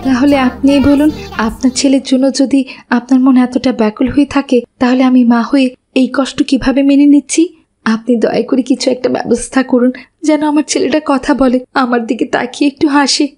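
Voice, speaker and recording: A woman's voice: high voice, fairly even intonation, brisk, mellow, faint music behind. A woman voicing an anguished landlord's wife in a cartoon, plaintive and pleading. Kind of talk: cartoon voice acting.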